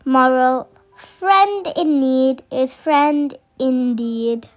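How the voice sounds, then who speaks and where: A very high, childlike voice narrates a children's fable. The reading is slow and deliberate, like a story read aloud, with faint music behind it. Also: lively intonation.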